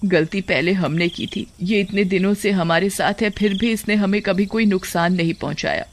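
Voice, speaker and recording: A man's voice: sits high, moderate pace, a little hiss or hum. A man voicing an anxious older mother who implores in a horror story. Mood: imploring. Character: frightened, anxious older mother of a grown son.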